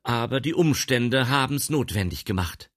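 A man voicing a calm, secretive young man. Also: mid-range voice, normal speed, voice pushed up, lightly acted.

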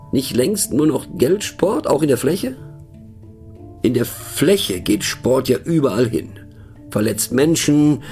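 A man's voice: medium pitch, gravelly, darkish tone. An actor playing a middle-aged male narrator in a radio play monologue, musing and mocking, thoughtful and hesitant, often turning to question the listener. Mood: mock-earnest. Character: musing, mocking male narrator, rambling to fellow train passengers.

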